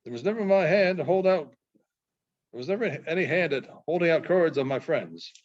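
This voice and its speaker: A man reading the part of an easygoing, modest gold prospector in a storybook, with plain, folksy, halting talk. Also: fairly high pitch, lively intonation, close to an everyday voice. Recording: dull, muffled sound.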